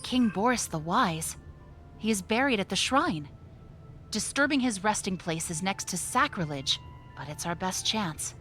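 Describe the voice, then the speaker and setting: An actress playing an earnest, resolute ally in a fantasy video game cutscene. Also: female voice, mid-range voice, animated, measured pace, over music, close to an everyday voice. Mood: earnest resolve.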